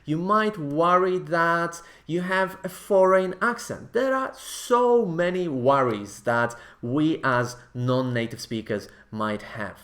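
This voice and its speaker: A man's voice: on the high side, slow, hardly any pauses, a bit bright. Earnest man presenting from his living room. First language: not English. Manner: earnest, reassuring, gestures with hands. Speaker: host. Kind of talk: scripted video presenting.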